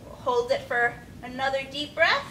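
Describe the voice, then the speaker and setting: A young woman with a bright, fairly high voice guides small children through yoga poses. She gives simple, encouraging directions while demonstrating. She is recorded from across the room with a low rumbly noise behind her. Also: normal speed. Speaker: children's yoga storytime instructor. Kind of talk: instructional talk for young children. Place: small indoor room with padded steps. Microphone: camera several metres away. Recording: distant camera mic, rumbly background noise.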